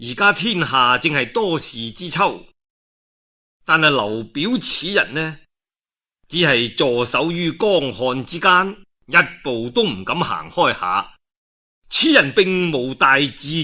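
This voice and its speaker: A male storyteller playing a calculating military strategist who reasons emphatically with his lord in a measured way. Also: on the high side, big pitch swings, pushed and bright, heavily acted. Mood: reasoned, urging.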